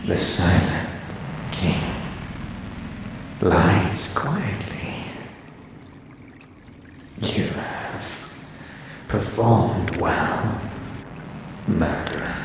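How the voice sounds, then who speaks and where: Ancient undead voice, low, solemn, halting, menacing, cavernous echo, fantasy audio drama. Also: male voice, vocal fry, full character voice. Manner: halting, grave, formal pronouncements. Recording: cavernous echo over noisy ambience.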